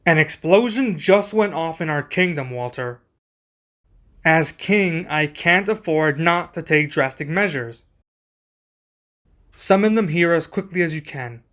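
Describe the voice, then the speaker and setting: A man playing a young king and protective husband in an ASMR roleplay, speaking in a formal, measured, deliberate way. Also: a little high, lively intonation, a bit bright. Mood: stern, urgent.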